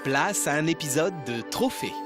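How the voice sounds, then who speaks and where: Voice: mid-range voice, animated, moderate pace, music in the background, close to an everyday voice. An actor playing an upbeat announcer, reading a scripted promo for a children's cartoon show.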